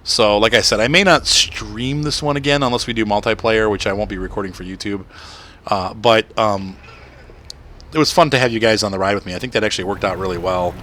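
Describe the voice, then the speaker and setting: A man in his thirties hosting a gaming channel. He rambles through casual live-stream gameplay commentary with lots of fillers, and his voice sounds slightly rough and crackly. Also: on the low side, fairly quick. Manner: rambling, chatty, with frequent fillers and soft laughs.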